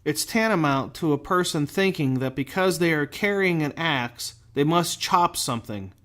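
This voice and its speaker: A man delivering scripted instructional narration as a show host, speaking deliberately with clear enunciation and emphatic phrasing. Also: fairly high pitch, expressive, sharp tone. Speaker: host of a firefighter safety show.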